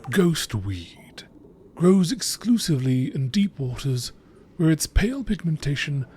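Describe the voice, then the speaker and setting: A man's voice: a little high, very animated, unhurried, darkish tone. Man narrating over noisy ambience. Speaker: video creator reading game lore aloud. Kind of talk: scripted narration, nature documentary style. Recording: clearly noisy background.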